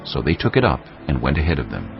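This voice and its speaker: A man narrating scripture from a script in a measured, dramatic storytelling manner. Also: a bass voice, sing-song, gravelly. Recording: slightly dull audio over music. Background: music.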